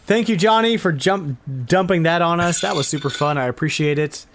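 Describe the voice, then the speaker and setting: A man's voice: on the high side, fairly quick, fairly bright tone, slight background noise. A man hosting a podcast, chatting casually and informally about retro video games. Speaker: podcast host.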